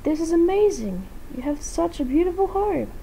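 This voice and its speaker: An eager, sweet fox girl played by a woman in a fan fiction reading. Her voice sits high and she gushes with wide-eyed cheer, over slight background noise. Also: very animated, moderate pace, vocal fry, mellow, exaggerated voice, poor audio. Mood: overjoyed. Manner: gushing, eager. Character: cheerful sweet female animatronic fox, easily awed.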